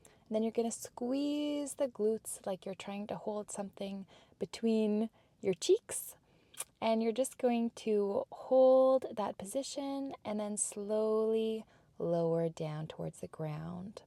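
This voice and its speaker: A woman instructor giving soft, breathy, measured cues for a guided workout in a calm meditation cadence. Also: a little high, no echo. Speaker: fitness and yoga instructor.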